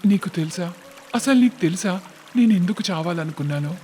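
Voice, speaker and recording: A proud, intense young businessman , acted by a man in a cartoon tale. He speaks emphatically and dramatically in a slightly high male voice over background music. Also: expressive, brisk, a little mellow. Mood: angry, despairing. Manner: emphatic, dramatic, repeats words.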